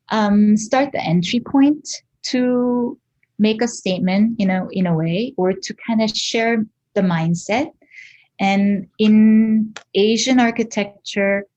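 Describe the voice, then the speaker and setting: A Korean-accented woman talks slowly through her ideas as an interview guest, pausing with fillers and restarting her sentences. Her voice sits at an ordinary pitch for a woman. The recording sounds a little dull and lo-fi. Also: a little mellow. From South Korea. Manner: thoughtful, halting, many fillers and restarts. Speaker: guest artist. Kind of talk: reflective interview talk.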